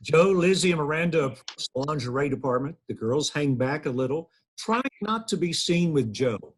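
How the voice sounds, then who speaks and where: A man's voice: fairly high pitch, lively intonation, normal speed, breathy edge. A man reading out stage directions at a sitcom table read, in a plain narrating manner.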